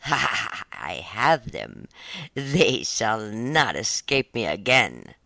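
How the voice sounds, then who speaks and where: A reader voicing a wicked old witch who eats children, speaking slowly and sometimes in a whisper. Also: medium pitch, huge pitch swings, vocal fry, bright, forceful tone, no echo, pitched below the performer's own voice, exaggerated voice. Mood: gloating. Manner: slow, drawn-out, sometimes whispered.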